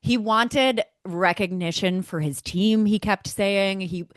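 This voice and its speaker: A woman's voice: mid-range voice, slow, no room sound, clean studio sound. A woman co-hosting a motorsport podcast, talking through a race in an enthusiastic, conversational way. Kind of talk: casual race recap chat.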